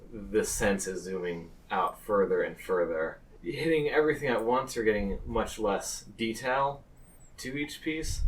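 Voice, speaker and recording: A man improvising narration as game master of a tabletop game, pausing hesitantly mid-phrase. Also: fairly low pitch, far from the mic, rough recording.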